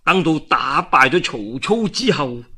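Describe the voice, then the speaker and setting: A man voicing a wily, calculating strategist in a historical storytelling, measured and confiding, with a Cantonese accent. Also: on the high side, expressive, bright, forceful tone, slightly rough, exaggerated voice. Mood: quiet, scheming.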